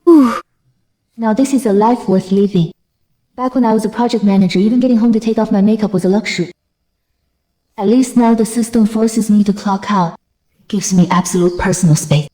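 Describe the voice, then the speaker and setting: A woman's voice: medium pitch, brisk, dark tone, natural voice, rough recording. A woman voicing a blunt, weary young female army general in an anime dub, bossy and sardonic. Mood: relieved. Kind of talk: dubbed motion-comic anime narration and dialogue.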